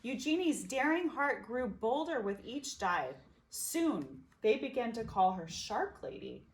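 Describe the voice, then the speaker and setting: A woman reading a children's picture book aloud expressively, with dramatic pauses and emphasis. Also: on the high side, sing-song, rough recording. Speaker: storybook reader.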